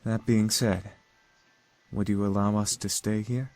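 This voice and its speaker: An actor playing a polite, devoted young gentleman in a romance visual novel, speaking in a courteous, formal way. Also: lowish voice, little pitch movement, measured pace, no room sound, natural voice. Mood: courteous, earnest.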